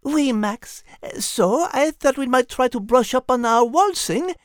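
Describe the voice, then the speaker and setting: A woman's voice: high voice, animated, unhurried, dry recording, studio quality. A woman voicing a petite French cat, polite, patient and clever, in a children's audio drama with a French accent. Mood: patient, teasing.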